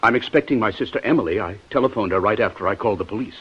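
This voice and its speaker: A man playing a defensive middle-aged banker husband in a 1950s radio drama, with a husky voice and stiff theatrical delivery. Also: fairly low pitch, rapid-fire. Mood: stiff, grieving. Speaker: radio actor. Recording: muffled old-time radio broadcast recording.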